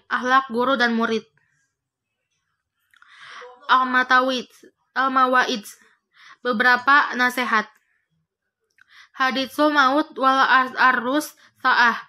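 A young woman reading a prepared history text aloud for a class, slowing down carefully over long foreign titles. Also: high-pitched, bright, forceful tone.

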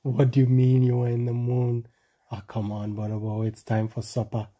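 Man as storybook monkey granny: low-pitched, very dark tone, chiding and unconvinced. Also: monotone, normal speed, highs cut off, natural voice. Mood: fond scolding. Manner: chiding, dismissive. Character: sceptical grandmother monkey, fondly scolding, weary.

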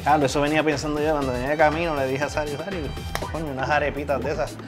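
Higher-voiced Puerto Rican man over music. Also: flat delivery, very fast. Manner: joking, colloquial. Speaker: chef and cooking show host. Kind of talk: casual chat while demonstrating cooking. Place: home kitchen. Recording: music playing behind the voice.

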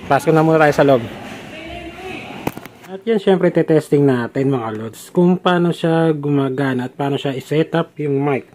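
A chatty young man talks into a helmet-mounted camera mic as he tests his setup and rides. His voice sits a bit high for a man, and there is a faint hum of vehicles and outdoor air behind him. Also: normal speed, a little mellow. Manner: chatty, addresses viewers as "lods", repeats fillers. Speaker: motovlogger. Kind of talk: casual how-to vlog. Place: outdoors at home, then riding a motorcycle. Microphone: helmet-mounted action camera mic.

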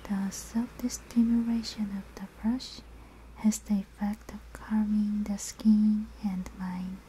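Slow, whispering ASMR woman. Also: mid-range voice, flat delivery, poor audio. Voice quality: soft, breathy whisper. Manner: gentle, soothing, unhurried instructions. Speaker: ASMR artist playing a spa therapist. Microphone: close microphone. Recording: faint background noise, ambient sound.

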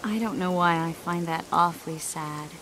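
An actress playing a tender, thoughtful young sorceress in a video game, speaking softly and expressively. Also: female voice, lowish voice, normal speed, lightly acted. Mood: wistful. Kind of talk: video game dramatic dialogue. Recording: steady rain and ambient noise behind. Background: rain.

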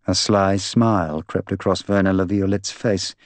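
A male audiobook narrator with a fairly low voice. He reads scripted fiction in a dry, close recording. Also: fairly even intonation, moderate pace, dry recording.

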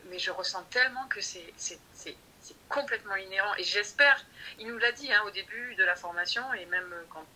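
Thoughtful woman with a bright, tense voice. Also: medium pitch, fairly quick, a little hiss or hum, low-quality recording. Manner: thoughtful, hesitant, many restarts. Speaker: guest in Montessori training. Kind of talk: conversational interview.

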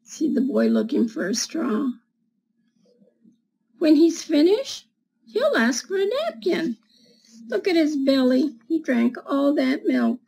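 A woman in her seventies reading a children's picture book aloud in a deliberate manner, as a storyteller talking to young listeners. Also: high-pitched, wildly swooping pitch, poor audio. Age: 70s. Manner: deliberate, explaining pictures with gentle questions to children. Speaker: read-aloud storyteller for schoolchildren. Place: small home room with bookshelves, indoors. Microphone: webcam at desk distance.

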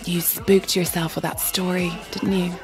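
An actress playing a lively young chorus girl in an audio drama, playful and teasing. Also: lowish voice, moderate pace, over music, lightly acted, low-quality recording.

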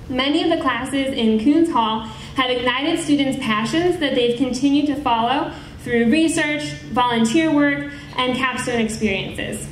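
A woman's voice: high-pitched, a little hiss or hum. A woman giving a formal student speech at a graduation ceremony in a large auditorium. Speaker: student speaker. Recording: echoey hall, distant mic.

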